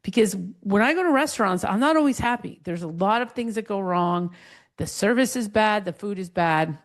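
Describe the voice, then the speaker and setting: Fast-talking woman hosting a chat. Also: medium pitch. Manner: quick, lively storytelling with many "like" fillers. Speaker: podcast host. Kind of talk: casual interview chat.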